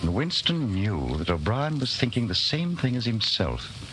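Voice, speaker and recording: An actor playing a grave, intense narrator of a dystopian tale in a 1940s radio drama, telling it with suspense. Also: male voice, lowish voice, big pitch swings, moderate pace, a bit bright. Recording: slightly noisy 1940s radio recording.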